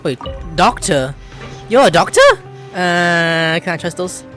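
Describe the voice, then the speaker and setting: A woman's voice: on the low side, slow pace, a bit bright, faint music behind. A woman giving casual gameplay commentary, thinking aloud in a playful, exclamatory way. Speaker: gaming video host.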